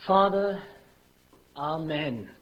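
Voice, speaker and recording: A man's voice: a little high, animated, very slow. An actor playing an ardent young man in love, declaiming romantic verse in 1950s radio style. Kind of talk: 1950s radio Shakespeare acting. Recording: muffled, slightly noisy old radio recording.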